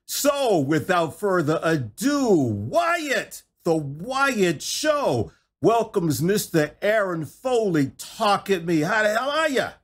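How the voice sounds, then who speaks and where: Host, middle-aged man, exuberant, folksy, slightly high-pitched, takes his time. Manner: exuberant, folksy, repeats himself, says y'all. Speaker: podcast host. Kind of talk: enthusiastic interview chat.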